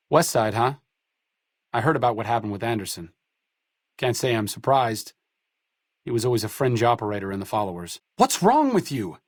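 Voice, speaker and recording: A man's voice: medium pitch, no room sound, close to an everyday voice. A man playing a wry, bookish idealist in a video game, with a dry, erudite and sardonic way of talking. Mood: indignant. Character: wry, bookish male idealist medic, dryly sarcastic. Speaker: companion character in a role-playing video game. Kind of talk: video game character dialogue.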